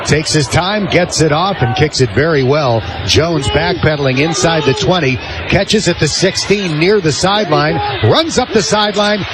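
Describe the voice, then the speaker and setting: A man's voice: a little high, moderate pace. An American man calling football play-by-play on radio in clipped, descriptive phrases. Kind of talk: live American football commentary. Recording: clearly noisy live stadium broadcast.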